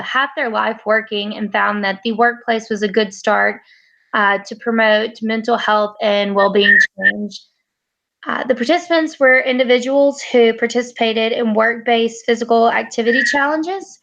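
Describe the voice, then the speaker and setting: A young woman presents a research study for a class, her voice a little high with a gravelly edge. She reads from notes, stopping often for fillers and short pauses. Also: a bit bright, poor audio. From United States. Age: 20s. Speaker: graduate student presenter.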